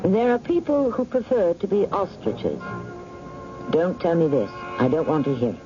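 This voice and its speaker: A man hosting a vintage radio drama, narrating from a script in a wry, measured, urbane theatrical manner. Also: high-pitched, lively intonation. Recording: muffled vintage radio broadcast. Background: loud clear music.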